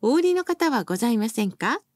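High-pitched woman making formal bus announcements. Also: very animated, fast talker, darkish tone, no room sound, clean studio sound. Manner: formal, polite, carefully enunciated. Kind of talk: scripted recorded bus announcements.

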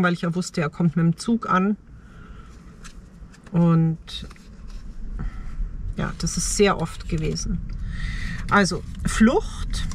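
Middle-aged woman vlogging over background noise. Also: mid-range voice. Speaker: travel vlogger. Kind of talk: personal reflective vlog monologue. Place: inside a parked car.

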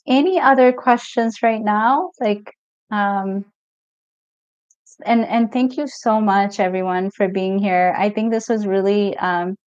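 A middle-aged woman from Ethiopia hosts a casual live question-and-answer session as a mental health coach. She talks in a relaxed, meandering way, full of "um"s, and reads out comments from viewers. Her voice sits at an ordinary pitch, and the audio is muffled and close with no room sound. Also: slow, clear, resonant tone, dry recording. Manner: relaxed, chatty, reads viewer comments aloud with many fillers. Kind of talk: live stream Q&A. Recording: dull lo-fi stream audio, dry.

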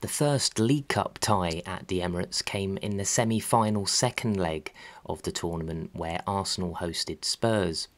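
A man reading scripted documentary narration about sport in a measured, factual way. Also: on the low side. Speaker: narrator of a football history channel.

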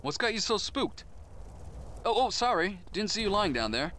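Casting: courteous, composed game crime boss, slightly high voice, clear ambient noise behind. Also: male voice, very animated, normal speed, bright, forceful tone, heavily acted. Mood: calm. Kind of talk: video game cutscene acting.

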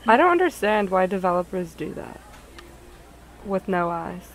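A woman's voice: lowish voice, big pitch swings, moderate pace, low-quality recording. Woman streamer with faint background noise. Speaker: video game streamer reading the game aloud. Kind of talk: casual group chat and reading story text aloud.

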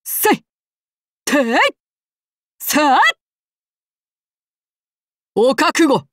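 A polite, bloodthirsty samurai demon. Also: female voice, high voice, expressive, extremely slow, no echo, clean studio sound, exaggerated voice. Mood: fierce, battle cries. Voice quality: soft, formal speech turning to wild shrieks in battle. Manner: courteous and archaic with a menacing undertone. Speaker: voice actress for a game character. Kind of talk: game character voice lines.

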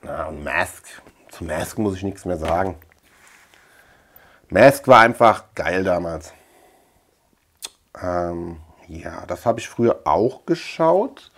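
A man's voice: low voice, animated, slow, long pauses, a bit bright, slightly rough. A middle-aged man from Germany chatting informally through a collection show-and-tell, rambling and repeating words as he goes. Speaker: video collector and channel host. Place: indoor room lined with shelves of tapes.

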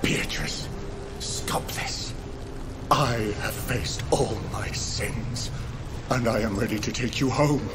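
An actor playing an anguished, defiant crusader, intense and pleading, in a dramatic video game. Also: male voice, medium pitch, slow, very noisy, close to an everyday voice. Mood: resolute, weary. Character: anguished, defiant crusader seeking his lost love.

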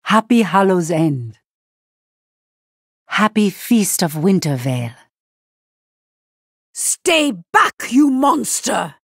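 A woman voicing a solemn, dutiful elven priestess and spirit warrior in a video game, measured, formal and breathy. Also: mid-range voice, big pitch swings, no echo, clean studio sound, full character voice. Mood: formal greeting, then fierce. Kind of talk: video game hero voice lines.

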